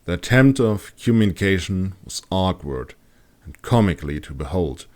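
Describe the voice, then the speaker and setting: Deep voiced guy reading a fantasy audiobook, storyteller narration. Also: lively intonation, moderate pace, darkish tone. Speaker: author reading own audiobook.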